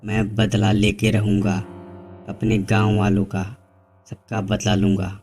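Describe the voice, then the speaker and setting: A man's voice: on the low side, level tone, unhurried, a little mellow, faint music behind, close to an everyday voice. A man playing a resolute village avenger. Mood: determined. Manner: resolute vows. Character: determined young village man seeking revenge.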